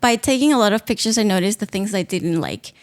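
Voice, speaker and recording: Peruvian woman in her thirties, mid-pitched. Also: lively intonation, fast. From Peru. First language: Spanish. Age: 30s. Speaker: podcast guest, patient. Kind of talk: casual personal account.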